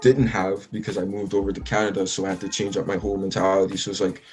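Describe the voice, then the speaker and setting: A man's voice: low voice, level tone, quick pace, a little mellow, faint music behind, poor audio. A young man from the Bahamas, a musician talking casually in an interview, rambling with lots of restarts. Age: young adult. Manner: rambling, many likes and restarts. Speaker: musician guest.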